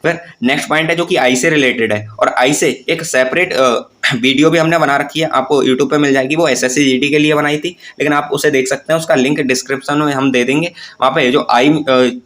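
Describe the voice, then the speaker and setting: A man's voice: mid-range voice, steady tone, a little fast, a bit bright. A man giving an informal explanatory lesson to viewers, going step by step in plain terms with English words mixed in. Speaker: presenter of exam-preparation tutorials.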